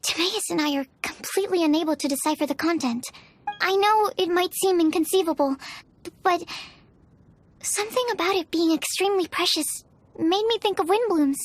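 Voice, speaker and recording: A woman's voice: extremely high pitch, a little fast. A woman voicing an earnest, shy young female researcher in a video game, speaking politely and hesitantly. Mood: hesitant, explaining. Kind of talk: video game character acting.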